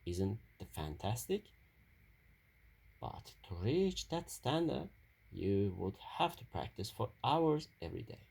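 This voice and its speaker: A man reading as an encouraging, husky storybook mom. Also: lowish voice, sing-song, takes it slowly, a little mellow, heavily acted. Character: girl's mother, encouraging but realistic. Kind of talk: children's storybook character reading.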